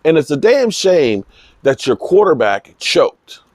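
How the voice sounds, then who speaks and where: A man with a slightly hoarse voice giving unscripted, emphatic sports commentary, pausing mid-sentence for emphasis. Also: fairly high pitch, very animated, a little mellow, no room sound. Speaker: football vlogger giving post-game reaction. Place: outdoors in misty rain.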